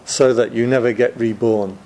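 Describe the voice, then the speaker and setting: A man's voice: medium pitch, fairly even intonation, moderate pace, darkish tone, a little hiss or hum. A man, a Buddhist monk, giving a chatty Dharma talk to a room of students, joking and laughing often.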